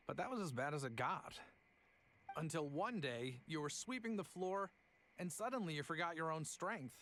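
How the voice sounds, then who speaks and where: A man's voice: a little high, fairly bright tone, slight background noise. A man voicing an easygoing young traveller in a video game, explaining in a wry, conversational way.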